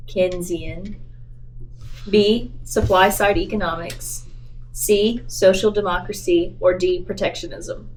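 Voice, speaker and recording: A woman's voice: mid-range voice, steady tone, a little slow, a little hiss or hum, low-quality recording. A young woman playing a tabletop game, chatting informally and reading question cards aloud. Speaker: student player in a history game.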